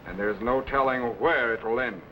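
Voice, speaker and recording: An actor playing a commanding time-travelling captain with authoritative, dramatic delivery in an old children's sci-fi serial. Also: male voice, mid-range voice, normal speed, a bit bright, slight background noise, lightly acted, rough recording. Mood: calm, explanatory.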